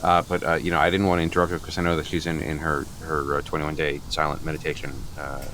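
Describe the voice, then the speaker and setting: An actor in an improvised comedy radio play playing a flustered, over-apologetic boyfriend who stammers, agrees readily and repeats himself. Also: male voice, rumbling low, flat delivery, fast talker, fairly bright tone, slight background noise. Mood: nervous agreement.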